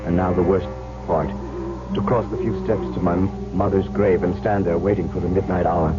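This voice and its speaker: A deep-voiced young suitor played by a man speaks with brooding, earnest resolve. His measured, dramatic radio delivery comes through a muffled vintage recording with music behind it. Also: fairly even intonation, close to an everyday voice. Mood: grim narration. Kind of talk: old-time radio drama acting and first-person narration.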